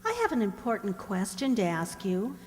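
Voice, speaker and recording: A woman's voice: medium pitch, expressive, normal speed, a little mellow, lightly acted. A woman playing an earnest, eager young daughter in a period radio play. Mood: eager, hesitant. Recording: echoey hall stage.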